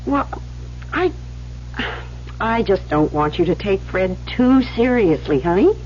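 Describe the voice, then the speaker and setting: A woman's voice: mid-range voice, big pitch swings, slow pace, a little mellow. An actress playing a worldly, protective young woman in a radio drama, coaxing and probing her friend. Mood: worried, defensive. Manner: coaxing, probing, hesitant when worried. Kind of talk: 1970s radio drama acting. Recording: muffled, noisy old radio recording.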